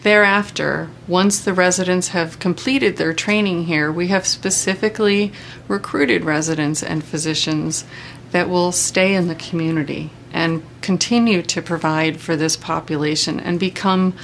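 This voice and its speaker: Deep-voiced woman over faint rumble. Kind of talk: explanatory interview remarks for a hospital newsreel. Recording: faint low rumbling background noise.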